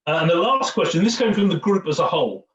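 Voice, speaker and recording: A man's voice: high voice, lively intonation, fast talker, fairly bright tone. Echoey, distant man hosting a chatty Q&A. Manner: jokey, rambling, repeats words. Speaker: host of a fan Q&A. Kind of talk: chatty, informal interviewing. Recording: muffled, echoey, distant from mic.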